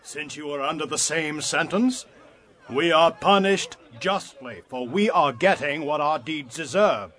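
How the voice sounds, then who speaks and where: A man voicing a condemned criminal who speaks with sober earnestness. The tone is bright and a little tense, set somewhat above the narrator's own pitch. This is a part within a dramatized Bible reading. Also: unhurried. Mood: earnest.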